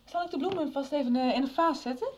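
A woman's voice: sits high, fast talker, slight background noise, lightly acted. An actress playing a friendly, polite schoolgirl in a radio drama. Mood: polite, curious. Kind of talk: 1990s radio drama acting.

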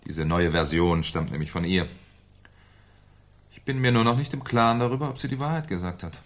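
An actor playing a shrewd, sardonic French police commissioner in a German radio crime drama, with a dry, probing manner. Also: male voice, deep voice, lively intonation, brisk. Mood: pressing, ironic.

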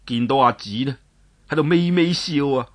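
A man telling a traditional story, dramatic and expressive, swinging his pitch up and down. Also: moderate pace.

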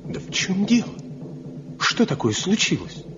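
An actor playing a proud, stubborn master woodcarver from a mountain village in a radio drama, speaking deliberately in folksy, declamatory phrases. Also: male voice, high voice, sing-song, noisy background. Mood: impatient, firm. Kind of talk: Soviet radio drama acting. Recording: muffled 1970 radio play recording.

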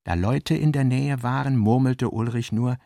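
A man's voice: medium pitch, moderate pace, vocal fry, mellow, no room sound, studio quality. A man reading literary narration for a radio drama in a scripted style.

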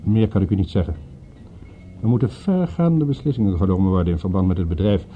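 An actor in a Dutch radio play voicing a middle-aged father and businessman, formal, calculating and deliberate in manner. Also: low-pitched, lightly acted. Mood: grave, businesslike. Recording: tinny narrow-band old radio, faint music.

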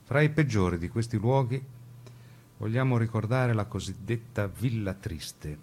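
A man giving measured, grave scripted narration in the manner of a radio documentary. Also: fairly low pitch, animated, darkish tone, slight background noise.

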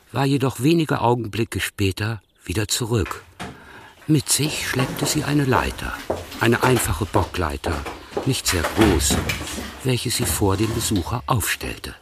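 An actor playing the narrator of a radio play, reading literary prose in a measured, precise way. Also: male voice, on the low side, very animated, a little hiss or hum.

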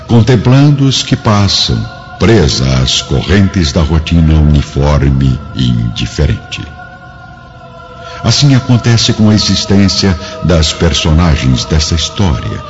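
A man with a Brazilian accent narrating a radio drama in a solemn, literary, measured style. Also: very deep voice. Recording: dull lo-fi recording over music. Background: music.

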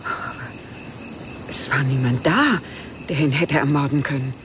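An actress playing a reserved, guarded lady of the house with a very husky voice in a radio crime drama. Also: very deep voice, a little slow, background noise.

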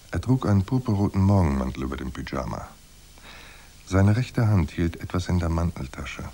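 An actor playing a hard-boiled private detective in a noir radio drama, dry, laconic and sardonic. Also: male voice, sits low, dark tone. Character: hard-boiled male private detective narrating, wry and unflappable. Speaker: narrator and lead actor.

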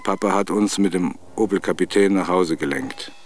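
A man's voice: low-pitched, normal speed. A man reciting rhyming verse. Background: music.

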